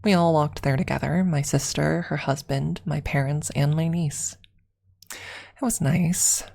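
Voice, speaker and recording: A woman with a creaky voice narrating a scripted story in an ASMR style. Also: deep voice, steady tone, a little slow, warm tone. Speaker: streamer and story reader.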